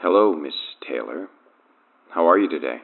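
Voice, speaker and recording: A thin phone line carries an arrogant, menacing cult leader from an audio drama. His voice sits extremely low and is husky, and he speaks in a measured, grandiose, taunting way. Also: expressive. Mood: calm menace. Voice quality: deep, gravelly, husky.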